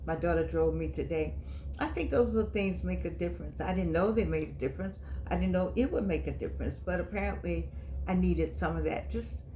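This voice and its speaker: A woman in her eighties hosting her own vlog, chatting and reminiscing in long, drawn-out phrases. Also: fairly low pitch, mellow, a little hiss or hum, poor audio. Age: 80s. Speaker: host. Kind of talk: casual vlog monologue.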